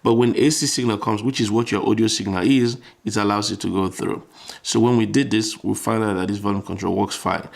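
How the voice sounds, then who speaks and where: A man's voice: fairly low pitch, fairly even intonation, fairly quick, vocal fry. A man explaining electronics informally, step by step, as a tutor who repeats phrases while he demonstrates.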